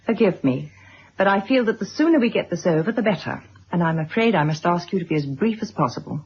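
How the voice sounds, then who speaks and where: Very husky, restrained wronged wife. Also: female voice, lowish voice, animated, fast, full character voice. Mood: coldly polite. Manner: formal, restrained, hesitant. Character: reserved, wounded wife and mother, stiffly polite. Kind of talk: 1970s radio drama acting. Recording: dull lo-fi vintage radio recording.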